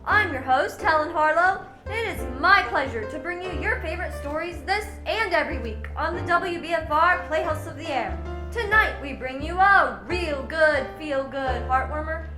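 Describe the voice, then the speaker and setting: A woman's voice: sits high, lively intonation, moderate pace. A woman acting as a showy old-time radio host in a radio play. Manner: showy, announcer-like. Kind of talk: 1940s radio announcer acting. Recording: echoey, distant, loud music. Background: music.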